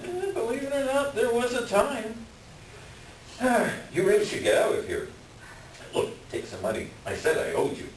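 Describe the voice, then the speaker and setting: A man playing a hapless middle-aged swindler doctor in a staged reading, pleading and self-deprecating, with a halting, wheedling delivery. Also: very high voice, huge pitch swings, heavily acted. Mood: rueful. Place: echoey room, far from the mic. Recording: distant echoey room with hiss.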